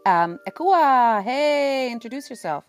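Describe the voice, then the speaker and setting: A woman's voice: high-pitched, animated, unhurried. A woman from Sudan hosting a live panel conversationally, in an informal, affirming way. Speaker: panel moderator, journalist and podcast host. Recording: live audio-app chat, faint music.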